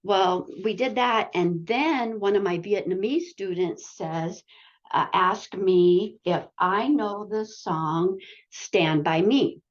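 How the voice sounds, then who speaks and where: A woman's voice: mid-range voice, slow, muffled recording. A woman adult-education teacher casually telling a classroom story on a video call, chatty with lots of fillers. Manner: chatty, many fillers, laughs while telling. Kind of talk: casual anecdote sharing.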